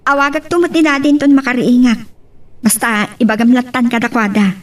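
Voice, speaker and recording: A woman's voice: fairly high pitch, fairly even intonation, brisk, recorded from a distance, natural voice. A woman with a Filipino accent playing a polite, dutiful young working wife in an audio drama. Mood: casual, affectionate. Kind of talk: Ilocano radio-style drama acting.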